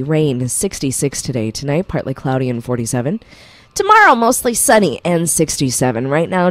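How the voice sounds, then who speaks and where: A woman hosting a morning radio show, chatty and quick to laugh, losing her voice. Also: on the low side, no room sound. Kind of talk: casual morning radio banter. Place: radio studio. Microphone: studio microphone.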